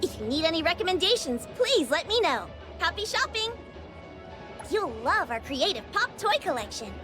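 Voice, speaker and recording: A woman's voice: very high-pitched, sing-song, normal speed. A woman voicing a tiny, cheerful, cutesy character in an anime game with perky delivery. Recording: music behind the voice.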